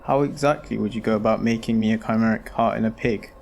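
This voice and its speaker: A man's voice: lowish voice, steady tone, quick pace, gravelly, darkish tone, dull audio, slight background noise. A man playing a wary, ailing patient with a cough who questions his options in an amateur audio drama. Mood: uneasy questioning. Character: dying man anxious to prolong his life, wary and questioning.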